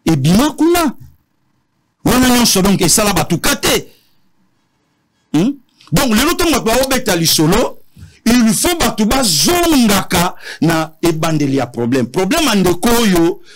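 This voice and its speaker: A man with a Congolese accent in an animated political discussion, emphatic, repeating phrases and switching between languages. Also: high-pitched, normal speed.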